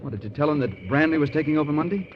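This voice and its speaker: A man's voice: a little high, lively intonation, very fast, heavy background noise, close to an everyday voice. An actor playing a weary, sincere male contractor boss, in 1940s radio style. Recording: dull old radio recording.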